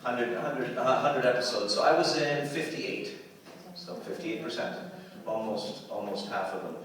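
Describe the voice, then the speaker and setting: A man's voice: medium pitch, measured pace, a bit bright, far from the mic. A man answering audience questions on a convention panel, rambling, repeating words and laughing often. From Canada. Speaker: convention panel guest actor. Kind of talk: convention Q&A talk. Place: large convention hall with audience. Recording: echoey hall recorded from afar, crowd noise.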